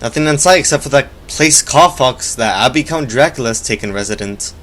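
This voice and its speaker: A man playing a protective young Victorian gentleman with a formal, indignant manner in a radio drama. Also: mid-range voice, lively intonation, a little fast, lightly acted. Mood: uneasy. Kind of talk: stage radio drama acting.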